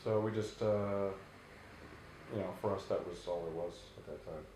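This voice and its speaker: A man who sings in a rock band, with a low bass voice, answering questions in a relaxed, rambling way with many restarts. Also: moderate pace, very echoey, slight background noise. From Canada. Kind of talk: casual interview answers.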